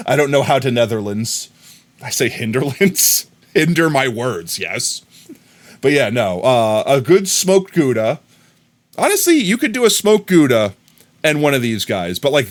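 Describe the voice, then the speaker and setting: An American man talks casually to his viewers, rambling along and yelling excited thanks now and then. His pitch sits in the middle but moves a lot, so the voice sounds lively and animated. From United States. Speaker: livestreamer. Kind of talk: casual live-stream chat.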